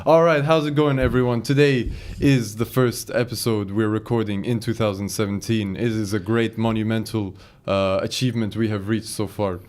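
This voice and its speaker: A man's voice: on the low side, steady tone, moderate pace, few pauses, a little mellow. A young man hosting a casual podcast, joking with his guests and steering the chat. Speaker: podcast host. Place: small podcast recording room. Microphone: studio microphone.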